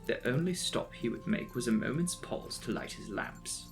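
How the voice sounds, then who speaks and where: A man's voice: medium pitch, lively intonation, normal speed, soft background music. A man narrating a classic novel as a dramatic, scripted audiobook reading.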